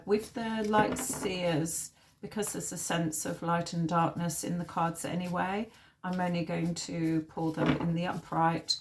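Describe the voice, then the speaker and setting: A woman with a slightly husky, nasal voice from a sore throat, giving an informal, halting tarot reading as host. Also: fairly low pitch, a little hiss or hum.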